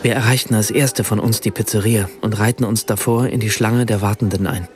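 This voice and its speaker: A man playing a writer who narrates a radio play in a measured, precise way. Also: on the low side, fairly even intonation, natural voice. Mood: reflective, measured. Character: German writer narrating a remembered family evening in Rome.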